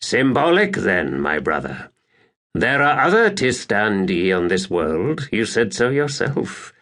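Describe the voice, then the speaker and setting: A man's voice: lowish voice, very animated, takes it slowly, fairly bright tone, heavily acted. A man voicing a victorious, silver-tongued warrior commander in a fantasy audiobook, speaking in a grand, formal, declamatory way. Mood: reassuring, urging. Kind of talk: dramatic audiobook character reading.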